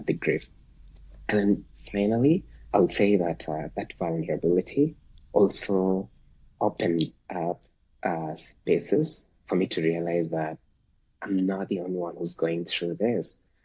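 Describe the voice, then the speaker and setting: A man from Kenya, Luo his first language, talks in a low, very raspy voice. He reflects thoughtfully, stopping and restarting with fillers. The sound is dry and close, with no room around it. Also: a little mellow, no echo, rough recording. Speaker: guest, startup founder. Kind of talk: reflective podcast interview.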